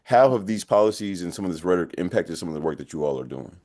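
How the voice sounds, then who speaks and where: A man's voice: low-pitched, lively intonation, quick pace, a little mellow. A man moderating a webinar panel, introducing guests in an upbeat way and often repeating his thanks.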